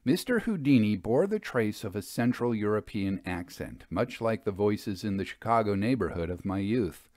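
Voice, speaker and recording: A man narrating a scripted story in a measured, expressive, bookish manner. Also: on the low side, no room sound.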